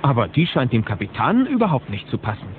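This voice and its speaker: A male narrator tells a children's adventure play with dramatic, animated intonation. His pitch is mid-range. The sound is narrow and hissy, like an old cassette. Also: fast talker. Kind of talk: scripted dramatic storytelling for children's audio drama.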